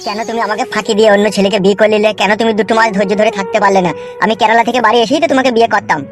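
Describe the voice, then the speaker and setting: A man's voice: sits high, fairly even intonation, very fast, lightly acted. Man acting a yearning cartoon lover. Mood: hurt. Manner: sentimental, wooing. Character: jilted young male lover, sentimental and yearning. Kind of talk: amateur comedy cartoon dubbing. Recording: music under the voice.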